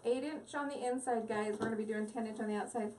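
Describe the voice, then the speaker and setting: A woman's voice: a little high, fairly even intonation, fast, darkish tone, distant mic, slight background noise. A woman hosting a live crafting stream, chatting with viewers in a rambling, giggly way at her craft table at home. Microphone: device mic set back from the table.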